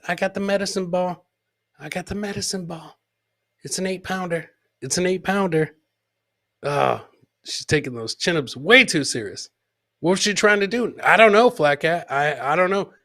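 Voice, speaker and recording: Higher-pitched man riffing on comedy clips. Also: a bit bright. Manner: repeats phrases for comic effect; jokey, mimics people in the clips. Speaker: host of a live comedy podcast. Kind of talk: joking commentary on funny clips.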